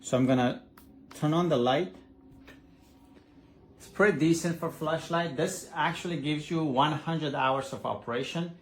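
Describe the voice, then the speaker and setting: A man's voice: a little high, measured pace, long pauses, slight background noise. A man reviewing a product in a casual, hands-on way, explaining step by step with some hesitation. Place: indoors at a desk.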